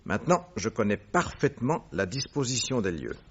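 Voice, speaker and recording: A man's voice: mid-range voice, very animated, moderate pace, slightly rough, lo-fi sound. An actor playing a witty, eager male detective who tells things playfully and vividly in a French radio drama. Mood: composed, sly.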